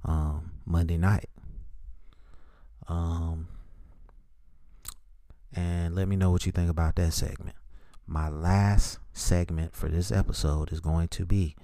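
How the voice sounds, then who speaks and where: A man delivers solo sports commentary as a podcast host. His voice sits low, with a dark, mellow tone. He talks casually and conversationally while giving his opinions, and the sound is very dry, with almost no room in it. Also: steady tone, slow, long pauses, dry recording.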